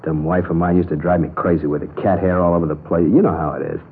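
A man's voice: sits low, fairly even intonation, fast, slightly rough. A man acting a bitter, boastful, cornered killer with blunt slangy talk in an old-time radio crime drama. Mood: hateful. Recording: noisy, tinny old radio recording.

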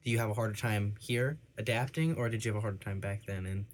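A man's voice: fairly low pitch, fast. A man interviewing in a casual chat, asking long rambling questions.

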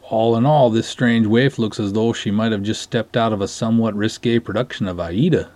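A man's voice: lowish voice, darkish tone, breathy edge. A man playing an earnest, pompous canoe cop who speaks in formal, florid, easily flustered phrases in a dramatic story reading.

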